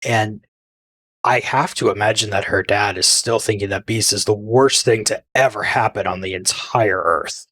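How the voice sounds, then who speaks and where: A man's voice: fairly low pitch, fairly even intonation, normal speed, a bit bright, breathy edge. A man co-hosting a fan podcast, chatting casually and giving blunt opinions. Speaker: podcast co-host. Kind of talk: casual chat.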